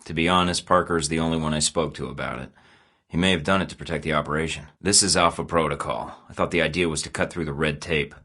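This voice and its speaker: A man's voice: extremely low pitch, steady tone, fast, bright, forceful tone, heavily acted. An actor playing a dry, sarcastic male secret agent in a video game, delivering wry, understated lines in a studio.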